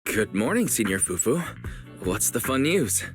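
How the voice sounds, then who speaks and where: Friendly young store manager for an anime game, mid-pitched, animated melody, casual, faint music behind. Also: male voice, measured pace. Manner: casual, polite.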